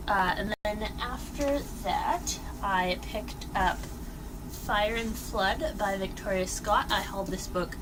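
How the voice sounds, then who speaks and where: A young woman rambles through a casual book chat, full of fillers and "like," her voice slightly worn from a cold. She sits away from the mic, so she sounds a touch distant, with steady noise behind her. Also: medium pitch. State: losing her voice, getting sick. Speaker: book vlogger. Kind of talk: casual monthly reading wrap-up.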